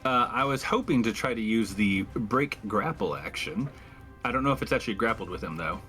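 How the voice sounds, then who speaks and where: A man's voice: fairly low pitch, animated, a little fast, pushed and bright, faint music behind. A man talking casually as a tabletop game player, politely explaining his moves.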